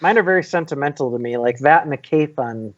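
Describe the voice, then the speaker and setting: American man, fairly high voice, casual rambling chat, filler-heavy, somewhat dull recording. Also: a little fast. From United States. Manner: rambling, frequent "like" and "you know". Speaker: vaping talk show panelist.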